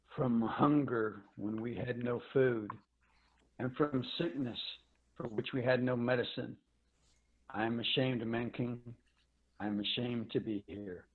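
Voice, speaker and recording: A man's voice: mid-range voice, steady tone, unhurried, a little hiss or hum, lightly acted. A man voicing a starved, bitter, dying spacefarer in a dramatic reading, weary and theatrical. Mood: ashamed, exhausted. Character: Grito, worn, starved, bitter old spacefarer near death. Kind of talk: dramatic reading of a science fiction story. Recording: tinny, narrow-band video call audio.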